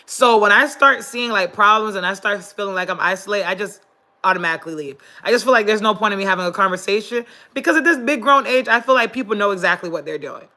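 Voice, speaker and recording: Raspy congested woman chatting to viewers. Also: medium pitch, quick pace, sharp tone. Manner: chatty, greets viewers, many fillers. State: congested, losing voice. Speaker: vlogger. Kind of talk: casual live-stream chat.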